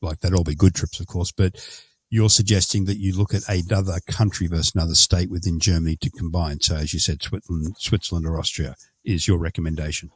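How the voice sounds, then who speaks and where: Low-voiced man hosting a podcast. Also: steady tone, fast talker, breathy edge. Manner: chatty, self-correcting questions. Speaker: podcast host. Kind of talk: casual interview.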